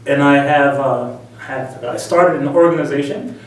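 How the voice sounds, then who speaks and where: Casting note: friendly, easygoing man, slightly higher voice, recorded from a distance in a very echoey room. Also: a little slow. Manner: relaxed, friendly, joking. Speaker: interviewee, missionary and English teacher. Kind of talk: casual interview answers.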